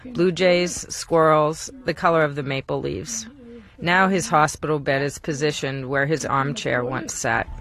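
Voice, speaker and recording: A woman journalist narrating a personal documentary in a quiet, measured, gentle way. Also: sits low, fairly even intonation. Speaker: journalist narrating a documentary about her father.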